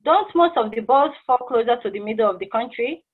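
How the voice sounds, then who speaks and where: A friendly, curious young-sounding cashier played by a woman in a stage play reading. The voice sits a little high and talks in a hesitant, informal way. The audio is thin and band-limited, like a phone call. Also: animated, fairly quick, slightly rough. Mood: curious, awkward. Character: friendly, awkward, curious female drive-through cashier. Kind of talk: casual table read of a stage play.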